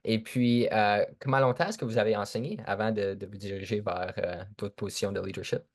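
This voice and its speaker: Low-voiced Franco-Ontarian male podcast host. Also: brisk. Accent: Franco-Ontarian French. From Sturgeon Falls, Northern Ontario. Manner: informal, short affirmations, slips between tu and vous. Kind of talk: casual podcast interview.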